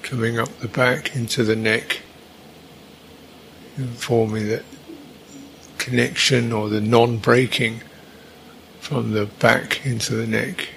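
A man leads a guided meditation at a very slow pace. His medium-pitched voice stays flat and even, moving through short, halting instructions with long gaps between them. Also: vocal fry, a little hiss or hum. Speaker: meditation teacher.

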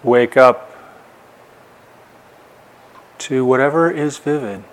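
A man giving guided meditation instruction as a teacher, speaking in short, unhurried phrases with long pauses. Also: medium pitch, low-quality recording. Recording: clear background noise, room ambience.

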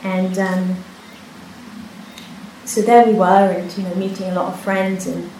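Brief: Hebrew-speaking woman, meditation teacher, halting, reflective delivery; boomy retreat-hall recording. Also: little pitch movement. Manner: soft-spoken, unhurried, with many pauses and restarts. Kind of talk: dharma talk with personal story. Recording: echoey hall, faint hiss.

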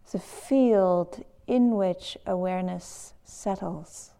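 A woman teaching a guided meditation in a measured, gentle manner with long pauses between phrases. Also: fairly low pitch, smooth.

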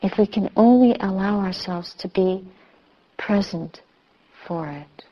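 Female meditation teacher, slow and measured, soothing guidance, slightly lo-fi sound. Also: mid-range voice. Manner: measured, soothing, with long pauses. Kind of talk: guided meditation instruction. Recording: slightly dull, muffled recording.

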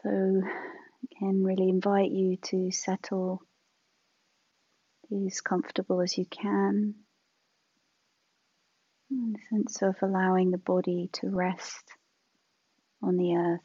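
Slow, husky woman guiding a meditation. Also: mid-range voice, flat delivery, no echo. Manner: hushed, trailing off, long pauses. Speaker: meditation teacher. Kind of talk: guided meditation, gentle spoken instruction. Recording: dull, slightly muffled lo-fi.

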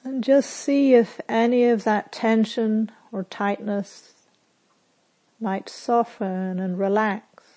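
Slow, mellow woman guiding meditation. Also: fairly high pitch, fairly even intonation, rough recording. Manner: soothing, soft phrase endings, measured pauses. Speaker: meditation teacher. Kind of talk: guided meditation.

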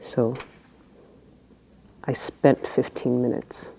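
Woman, low voice, mellow tone, Zen teacher, halting dharma talk. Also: little pitch movement, gravelly, rough recording. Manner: slow, halting, with long pauses.

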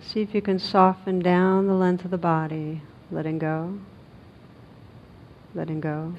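A woman guides a meditation in a very slow, even voice. She is gentle and unhurried, leaving long pauses between short phrases. The recording is a little dull, with a faint noisy rumble. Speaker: meditation teacher.

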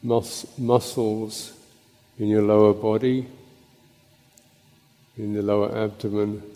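A man teaching as a Buddhist monk, leading a guided meditation in a contemplative, halting way with pauses between short phrases. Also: on the low side, flat delivery, warm tone. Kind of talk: guided meditation and Dhamma talk. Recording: long reverberant hall echo.

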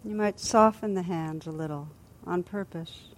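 A woman teacher leading a guided body scan meditation in a gentle, soothing, unhurried manner with long pauses. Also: lowish voice, poor audio.

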